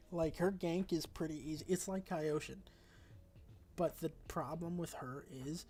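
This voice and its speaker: A congested man with a raspy voice talks casually through a game tier list, rambling along with fillers and self-corrections. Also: fairly high pitch, darkish tone. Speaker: gaming video creator.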